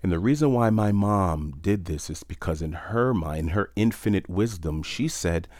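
Man from New York, French his first language, very low voice, dry close sound, reflective storyteller. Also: expressive, darkish tone, no echo. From New York City. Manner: reflective, measured, conversational with fillers. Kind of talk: personal storytelling talk.

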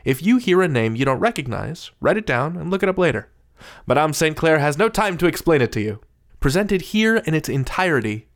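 Man, medium pitch, podcast host, scripted narration, theatrical, wry, playful.

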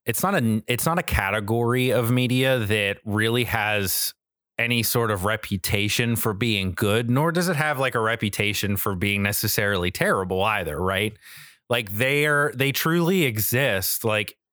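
A man's voice: lowish voice, lively intonation, normal speed, a bit bright, no room sound, studio quality. An American man in his thirties chatting casually as a podcast co-host, enthusiastic, rambling and freely profane. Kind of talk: casual chat.

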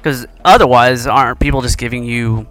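A man's voice: mid-range voice, normal speed, a bit bright, soft background music. A man hosting a discussion show, asking probing questions in a casual way and often restarting his sentences. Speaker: show host and roundtable moderator.

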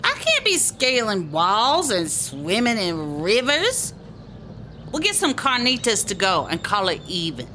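A woman's voice: medium pitch, wildly swooping pitch, measured pace, sharp tone, a little hiss or hum, exaggerated voice. A woman playing a dreamy, stubborn alien diva in a sci-fi comedy audio drama, theatrical and declamatory. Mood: grudging.

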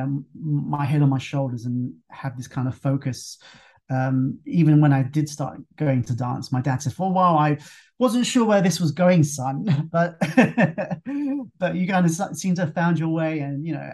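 A man's voice: on the high side, gravelly, a little mellow. A young man, speaking as an interview guest in a reflective, hesitant way with many restarts. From London, England. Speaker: guest, fitness instructor. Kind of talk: casual interview talk.

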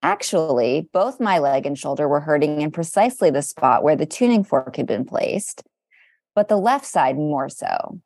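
A woman with a slightly low voice, recorded dry with no room sound. She co-hosts a podcast in casual chat, reading aloud a little dramatically. Her commentary is playful and deadpan. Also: normal speed, dry recording. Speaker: podcast co-host.

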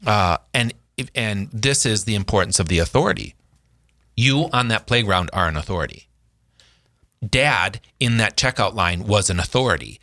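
Man in his forties, casual family chat, earnest with lively retellings; bright, forceful tone. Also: on the low side, moderate pace. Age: 40s. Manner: earnest, animated when telling stories. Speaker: brother in a family discussion. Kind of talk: casual reflective conversation.

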